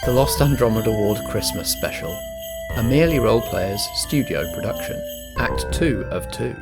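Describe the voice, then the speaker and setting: A British man with a medium-pitched voice acts as compere and game master. His delivery is measured and theatrical, with a mock-formal touch suited to narrated radio comedy. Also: lively intonation, over loud music.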